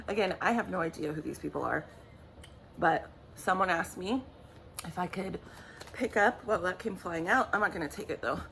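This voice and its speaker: A woman giving a tarot reading in a chatty, rambling way, apologizing often, her voice raspy from just waking up. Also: lowish voice, normal speed, pauses often, a bit bright, slight background noise, low-quality recording. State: raspy morning voice. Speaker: tarot reader. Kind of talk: casual vlog talk.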